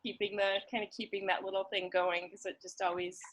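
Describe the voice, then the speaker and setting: A woman chatting casually and warmly, telling anecdotes in an informal, halting way with repeated words. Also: mid-range voice, level tone. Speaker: conversation partner, guest. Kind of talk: casual, warm chat and anecdote.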